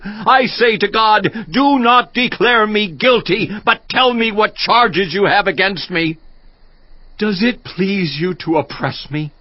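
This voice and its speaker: An actor playing a bitter, suffering man who pleads with God in a dramatized scripture reading, swinging from loud cries to whispers. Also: sits high, no room sound, lo-fi sound.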